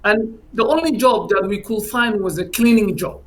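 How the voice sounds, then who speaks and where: A man's voice: high voice, normal speed, a bit bright. Hesitant man candidate. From Finland. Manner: hesitant, many fillers and restarts. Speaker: election candidate. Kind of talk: panel answer.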